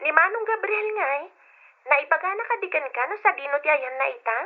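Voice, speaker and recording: Casting: concerned young Ilocano informant, very high voice through a thin phone filter, vintage radio drama. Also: female voice, animated, brisk. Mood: probing. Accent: Filipino, Ilocano. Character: concerned younger woman, tattling, urgent telephone informant. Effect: telephone filter. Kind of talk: old-fashioned radio drama acting. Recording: thin, tinny phone line.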